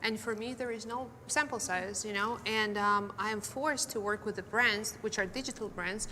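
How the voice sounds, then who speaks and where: A woman's voice: mid-range voice, normal speed, over a noisy crowd. A woman in her twenties, an influencer, speaking on an interview panel with slightly non-native phrasing. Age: 20s.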